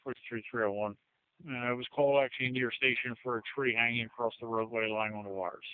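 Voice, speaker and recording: Phone-like radio band, male dispatcher, smooth clear voice, mid pitch, matter-of-fact. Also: fairly even intonation, fast talker, no echo. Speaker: county fire dispatcher. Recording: narrowband phone-like emergency radio.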